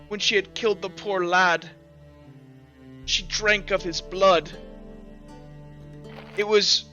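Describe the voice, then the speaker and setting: A man voicing a humble, flustered old vampire woman who gives halting, dramatic, accusing testimony in a tabletop game. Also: high voice, sharp tone. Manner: halting, repeats words, dramatic.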